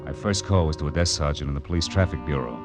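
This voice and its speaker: An American man acting a tough, wry, world-weary private detective in a 1940s radio noir, clipped and deadpan. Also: extremely low pitch, fast. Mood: terse, businesslike. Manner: clipped, hard-boiled, deadpan. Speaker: lead actor and narrator of a detective serial. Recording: old radio drama with background music.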